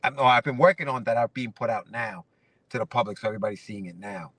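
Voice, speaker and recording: A man in his forties from Ecuador talks casually as a guest, circling back over his words with restarts and fillers. His voice is bright and forward, caught in a dry space from some way off the mic. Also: mid-range voice, quick pace, dry recording, distant mic. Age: 40s. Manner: rambling, many restarts and fillers. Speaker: guest comic writer. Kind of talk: casual interview chat.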